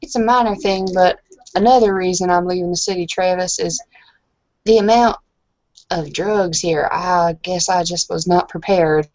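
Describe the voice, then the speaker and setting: A woman's voice: fairly low pitch, normal speed, darkish tone, no room sound, highs cut off. A woman playing a tabletop roleplaying game in character, talking casually in an earnest, hesitant manner.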